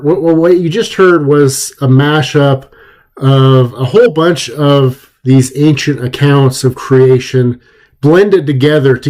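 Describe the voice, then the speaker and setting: Halting, conversational male lecturer. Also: on the high side, a little mellow. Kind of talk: informal introductory lecture.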